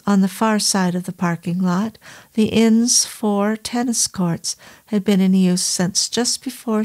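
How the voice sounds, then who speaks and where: Slow, measured female audiobook narrator. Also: medium pitch. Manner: slow, measured, even reading. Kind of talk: scripted horror novel narration.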